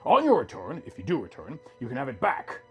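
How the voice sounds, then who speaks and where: A man's voice: mid-range voice, brisk, faint music behind. A man voicing a thin grey rock-creature doctor in a fantasy story, cold, detached and clinical. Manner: flat, clinical, impersonal. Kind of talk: storybook character dialogue within narration.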